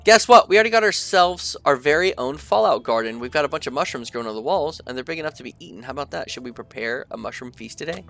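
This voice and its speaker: A young man with a stuffy nose chatting casually through a gameplay session, reading the game's text aloud and thinking out loud with lots of fillers. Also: on the high side, expressive, fast, gravelly, few pauses. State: stuffy from allergies. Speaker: gaming video creator. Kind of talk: casual let's-play commentary, reading game text aloud.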